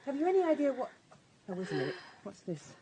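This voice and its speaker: An actress playing a calm, reassuring night nurse, brisk and soothing, in a radio drama. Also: female voice, high-pitched, noisy background. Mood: brisk, urgent.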